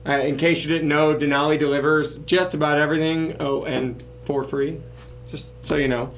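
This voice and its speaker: Hoarse man hosting, slightly high voice. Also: flat delivery, moderate pace, slight background noise. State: hoarse, losing his voice. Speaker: podcast host. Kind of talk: casual talk, sponsor thanks.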